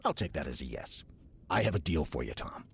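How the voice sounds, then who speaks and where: Casting: sly demon in horror audio drama, low raspy voice, swooping inflection, mock-polite. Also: male voice, sing-song, exaggerated voice. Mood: quietly menacing. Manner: measured, insinuating, mock-polite. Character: sly, smooth-talking demon posing as lovable old church deacon.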